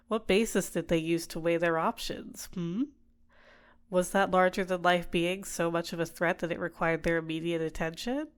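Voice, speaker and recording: Possessive female villain for ASMR roleplay, played by a woman: lowish voice, soft, coaxing, manipulative, tenderly doting. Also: clean tone, close to an everyday voice. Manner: soft-spoken, teasing, drops into whispers. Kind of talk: ASMR roleplay, intimate personal attention.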